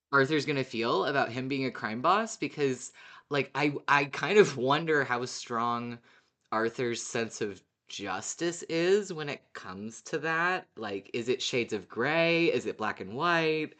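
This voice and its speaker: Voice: medium pitch, animated, slow, pushed and bright. A podcast co-host chatting casually in an amused, giggly way about a favourite show. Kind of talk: casual fan podcast chat.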